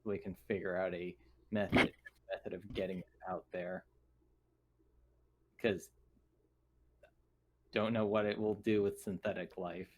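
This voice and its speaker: A man talking casually as a tabletop roleplaying player, planning in a halting, think-aloud way. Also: low-pitched.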